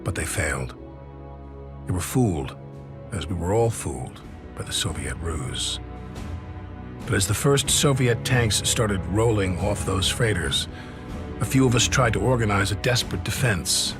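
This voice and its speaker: Low-voiced, sombre soldier narrator. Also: male voice, expressive. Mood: sombre, resolute. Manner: sombre, measured. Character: grave American soldier recounting war, world-weary, resolute. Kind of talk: dramatic scripted narration. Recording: prominent music behind.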